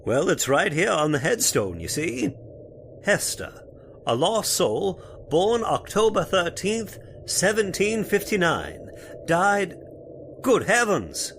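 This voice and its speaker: A man's voice: high-pitched, very animated, slow pace, vocal fry, fairly bright tone, a little hiss or hum, exaggerated voice. A man playing a folksy, spooked cemetery caretaker in old-time radio horror style, with rustic turns of phrase. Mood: uneasy. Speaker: voice actor.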